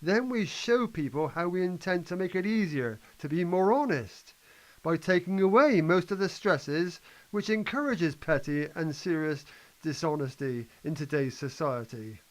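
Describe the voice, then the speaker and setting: A man's voice: fairly high pitch, lively intonation, a little slow, poor audio. A man playing a cheery, idealistic adviser to a Prime Minister in an amateur play, upbeat and earnest in his delivery.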